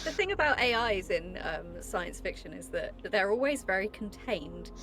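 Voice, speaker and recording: Female player, medium pitch, casual conversational delivery, clear music behind her. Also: very animated, normal speed, a bit bright. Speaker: player in a role-playing game. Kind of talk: casual group chat. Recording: clearly audible music underneath.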